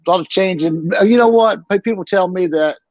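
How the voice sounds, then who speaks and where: A middle-aged man from North Carolina, a guest on a video call, talking haltingly with many restarts and "you know"s. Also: sits high, no room sound, highs cut off. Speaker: guest, transplant recipient. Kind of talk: casual video call interview.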